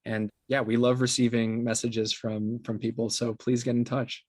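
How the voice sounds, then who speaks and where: An earnest man answers as a guest in an interview. His voice sits at an ordinary male pitch, and he talks in a conversational, appreciative way. The sound is dry, with no room echo. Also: level tone, moderate pace, darkish tone. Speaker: interview guest, podcast company co-founder.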